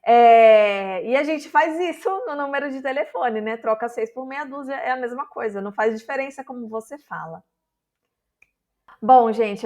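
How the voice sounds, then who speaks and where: A woman's voice: a little high, a little mellow. A woman with a Brazilian accent teaching an online lesson, explaining patiently and often checking in with her viewers. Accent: Brazilian Portuguese. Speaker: Portuguese teacher.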